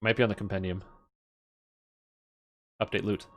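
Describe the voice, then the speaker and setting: A man's voice: lowish voice, little pitch movement, moderate pace, a bit bright. A man giving casual live game stream commentary, thinking aloud as he mutters through details and swears now and then. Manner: muttering through item stats, profane.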